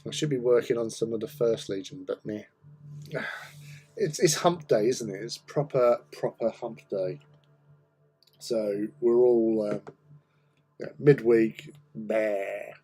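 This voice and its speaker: A man with a hoarse, croaky voice from a cold, chatting casually and rambling with many pauses while hosting a hobby stream. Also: on the low side, dark tone, low-quality recording. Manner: rambling, hesitant, many ums. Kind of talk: casual chat while painting.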